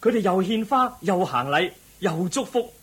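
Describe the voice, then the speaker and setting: An actor playing an earnest, courteous young prince in a Cantonese radio drama, with a Hong Kong accent. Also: male voice, high voice, expressive, moderate pace, fairly bright tone, slightly rough, full character voice. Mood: excited.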